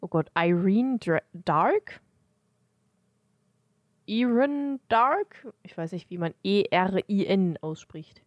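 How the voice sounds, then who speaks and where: A woman's voice: medium pitch, expressive, slow, dry recording. A woman co-hosting a podcast, chatting casually as a fan. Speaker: podcast co-host.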